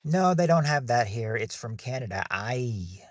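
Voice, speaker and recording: A man's voice: medium pitch, expressive, measured pace, no room sound, studio quality. A man hosting a livestreamed game night, chatting loosely and often restarting his sentences. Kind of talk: casual chat while hosting a game.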